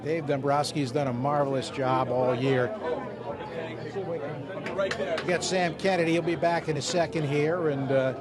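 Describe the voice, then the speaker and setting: Higher-voiced broadcaster, pausing, crowd behind. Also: male voice, fairly even intonation. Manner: conversational, with frequent "uh" pauses. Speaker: radio baseball broadcaster. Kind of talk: post-game radio interview. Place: hallway outside a clubhouse. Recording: noisy celebration crowd behind.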